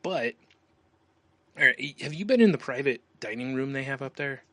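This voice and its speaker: A man's voice: mid-range voice, lively intonation, normal speed, pushed and bright, dry recording. A man co-hosting a podcast, telling a rambling story in casual chat with lots of fillers and frequent laughs. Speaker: podcast co-host.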